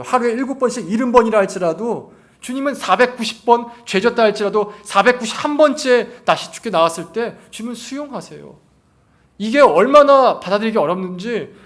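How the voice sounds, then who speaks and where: A man preaching a sermon as a pastor, using rhetorical questions and repeating phrases for emphasis. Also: sits high. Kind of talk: sermon to a congregation.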